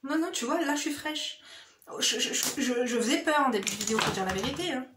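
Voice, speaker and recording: A woman's voice: high-pitched, animated, fast talker, very noisy. A woman beauty vlogger chatting casually through her makeup routine, slangy and familiar, speaking straight to her viewers. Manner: familiar, slangy, addresses viewers directly with frequent "hein" tags. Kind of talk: casual chatty get-ready-with-me makeup chat. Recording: roomy, echoey indoor sound.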